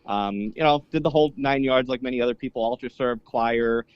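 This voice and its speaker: Relaxed man, native Polish speaker. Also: mid-range voice, fairly quick, clean tone, no echo, muffled recording. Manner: easygoing, rambling, many fillers and restarts. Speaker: guest, Orthodox priest and polka singer. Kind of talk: casual interview chat.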